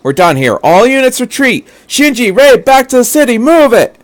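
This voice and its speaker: A man voicing a forceful female military commander in a story reading, barking urgent orders in commanding shouts. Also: very high voice, big pitch swings, normal speed, voice pushed up.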